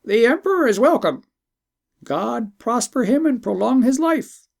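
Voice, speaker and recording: An eloquent magical bird, voiced by a storybook narrator speaks out boldly. The voice sits high and swoops with emphasis, formal and declamatory as it proclaims the truth. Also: animated, a little slow, gravelly, darkish tone. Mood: courtly greeting. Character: enchanted talking bird, eloquent, bold truth-teller.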